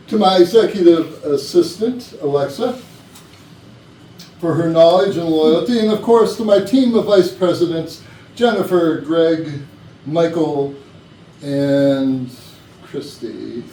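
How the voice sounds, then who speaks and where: A man playing a genial retiring chief executive, giving a measured, gracious speech in a stage play. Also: fairly high pitch, dark tone. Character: genial, formal retiring male company CEO. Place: indoor rehearsal room. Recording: roomy rehearsal, distant mic, faint noise.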